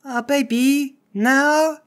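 A man's voice: very high voice, fairly even intonation, very slow, fairly bright tone, voice pushed up, exaggerated voice. A man voicing a lazy, sweet-talking druggie girlfriend in a tabletop game, wheedling and whiny.

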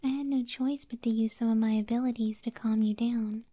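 A doting, possessive monster moth girlfriend murmurs in an intimate ASMR roleplay, acted out by a woman. Her whisper is very mellow and full of breath, sweet and cooing. It sits close to the microphone in a dry, echoless space. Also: on the high side, steady tone, fairly quick, breathy edge. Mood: coy, possessive.

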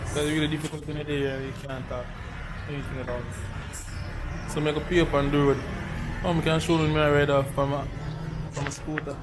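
A man's voice: fairly high pitch, fairly quick, long pauses. A young man talking casually to a handheld camera on a city street and from a scooter in traffic, chatty, loose and joking. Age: young adult. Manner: chatty, loose and joking, turns lively and loud on the bike. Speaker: travel vlogger. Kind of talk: casual vlog talk to camera. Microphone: handheld action camera close to the face. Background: loud music and street traffic.

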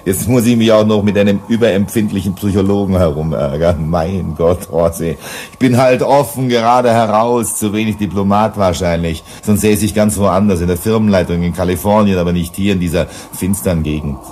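An actor in a German sci-fi radio play, playing a blunt, hard-nosed mining boss with a gruff, dismissive manner. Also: male voice, deep voice, moderate pace, darkish tone, a little hiss or hum, lightly acted. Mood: irritated. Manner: gruff, dismissive, self-assured.

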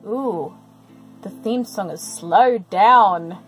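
A woman hosting a reaction video, giving casual commentary with expressive, exclamatory asides. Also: mid-range voice, drawn-out words, faint music behind, low-quality recording.

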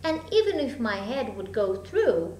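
Woman plays curious storybook little girl, earnest and wondering, high swooping voice, echoey home recording. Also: very animated, a little slow, a little mellow. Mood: musing. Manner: wondering, earnest self-talk. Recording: echoey room, hum and background noise.

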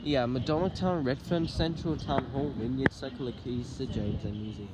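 A man's voice: medium pitch, normal speed. A man filming and commenting on trains at a railway station, rattling off train numbers and stop lists and correcting himself as he goes. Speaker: train vlogger. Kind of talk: casual train-spotting commentary. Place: outdoors at a railway station and footbridge. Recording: loud outdoor noise on phone mic. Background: passing trains and outdoor traffic noise.

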